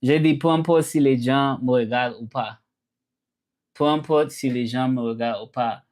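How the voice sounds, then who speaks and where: A man with a Southern American accent hosts a relaxed live stream in a mid-pitched voice. He talks straight to his viewers, repeats phrases and slides from English into French mid-thought. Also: normal speed. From Memphis, Tennessee, USA. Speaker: live streamer and language teacher. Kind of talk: casual live-stream chat, switching between English and French.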